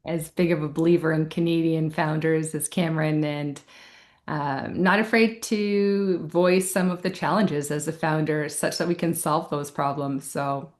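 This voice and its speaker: A woman at a meeting, giving a halting, supportive comment. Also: low-pitched, fairly even intonation. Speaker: panel participant.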